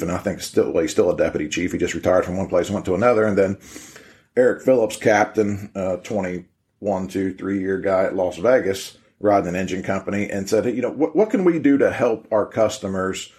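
A man with a low voice and an American accent talks through program details on a podcast. He is conversational and loosely structured, circling back over his own phrases as he explains. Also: darkish tone. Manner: conversational, rambling, repeats phrases as he goes. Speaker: fire training program leader on a podcast. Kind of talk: informal explanatory podcast talk.

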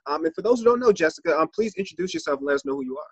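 A man's voice: on the high side, lively intonation, rapid-fire, breathy edge, no room sound. A man hosting a casual podcast interview, chatty and upbeat with loose restarts. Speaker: podcast host.

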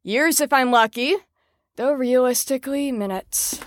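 A woman's voice: a little slow, no room sound, studio quality. A woman playing a peppy but frazzled, sarcastic supervisor of patients in an audio drama. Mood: exasperated, sarcastic. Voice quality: high-pitched. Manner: perky, folksy, exasperated when challenged.

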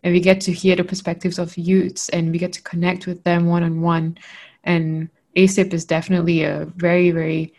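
Low, gravelly-voiced woman host from Malaysia. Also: little pitch movement, moderate pace, mellow. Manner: thoughtful, many fillers like "you know". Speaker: podcast co-host. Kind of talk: casual interview chat.

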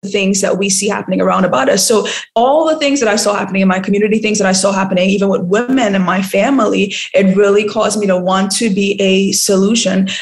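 A woman's voice: mid-range voice, fairly even intonation, fast, few pauses. Earnest motivational woman from Trinidad. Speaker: guest life coach. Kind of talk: podcast interview.

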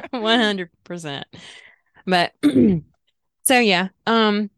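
A woman's voice: medium pitch, lively intonation, drawn-out words, dry recording, clean studio sound. Chatty middle-aged woman podcast host. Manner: chatty, laughs often, says y'all. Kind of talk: casual chat.

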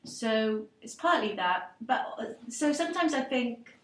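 A woman's voice: a little high, expressive, measured pace, echoey room, recorded from a distance. A woman storyteller from Cardiff, answering interview questions thoughtfully and hesitantly, with many restarts. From Wales, Cardiff.

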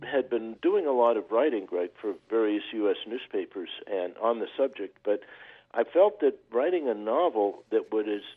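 Guy from maine on a tinny phone line, lowish voice, earnest, restarts a lot. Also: animated. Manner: earnest, measured, with hesitant restarts. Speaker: novelist guest. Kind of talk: interview over the phone.

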